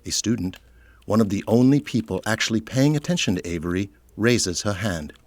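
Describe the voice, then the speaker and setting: A man's voice: fairly low pitch, animated, a little fast, dry recording. A man narrating a scripted audio drama, reading out scene descriptions.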